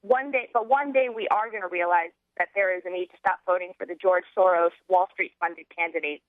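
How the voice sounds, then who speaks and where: A young American woman giving earnest political talk as an activist guest, halting and restarting as she goes. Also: lowish voice, steady tone, dry recording. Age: young adult. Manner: rambling, halting with false starts. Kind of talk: earnest political talk, partly reading out a platform. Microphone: telephone. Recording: narrow tinny phone line.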